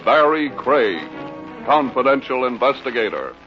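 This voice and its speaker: A man's voice: medium pitch, sing-song, unhurried. Grand American radio announcer man, music behind. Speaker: programme announcer. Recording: dull vintage radio recording, music behind.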